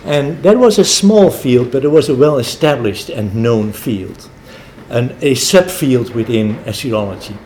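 A man's voice: mid-range voice, animated, measured pace, darkish tone. Dutch guy w american english, mid voice, keeps saying um and uh, light hiss. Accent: American English, Dutch first language. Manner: hesitant, many ums and uhs. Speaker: academic colleague giving vote of thanks. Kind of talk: informal spoken thanks.

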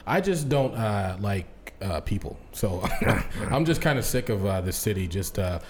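Low-voiced chatty man hosting a podcast. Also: a little slow, slight background noise. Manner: chatty, jokey, animated. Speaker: podcast co-host. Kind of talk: casual chat.

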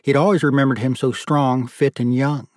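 A man's voice: mid-range voice, steady tone, normal speed, darkish tone, no echo, studio quality. A man reading literary fiction as an audiobook narrator, in scripted storytelling prose.

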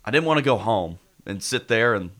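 A man's voice: fairly low pitch, lively intonation, a little fast, fairly bright tone. An American man hosting casual sports talk radio, congested with a head cold.

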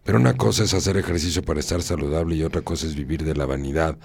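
A man's voice: rumbling low, rapid-fire, creaky, darkish tone, slightly rough, no room sound. A man hosting a radio talk show, moralizing and repeating phrases for emphasis. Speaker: radio host.

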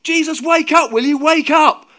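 Storybook role: cocky, forceful fisherman, played by a male reader, highly animated delivery. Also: normal speed, lightly acted. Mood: frantic shouting. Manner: bossy, teasing, forceful. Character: cocky, bossy fisherman disciple, panicking and bellowing in storms. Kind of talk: dramatised story reading.

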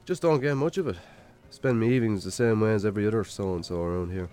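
An actor playing a weary, put-upon Irish bachelor farmer in a naturalistic radio drama, with a dry, sardonic manner. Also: male voice, on the low side, quick pace, a little mellow, soft background music, natural voice. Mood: wry, friendly. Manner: wry, sardonic, grudging.

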